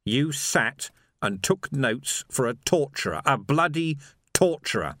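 Audiobook lead, male reader acting: tough sardonic male sergeant, lively intonation, menacing, deliberate, mock-polite. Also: medium pitch, no room sound, voice pushed up. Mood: cold fury. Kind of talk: audiobook character voice.

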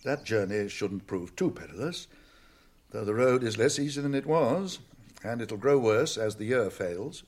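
Man acting husky old British wizard, stern. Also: mid-range voice, animated, darkish tone, natural voice. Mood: advising. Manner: authoritative, deliberate, brisk questioning. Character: old wizard, stern, shrewd, commanding yet kindly. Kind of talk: British radio drama acting.

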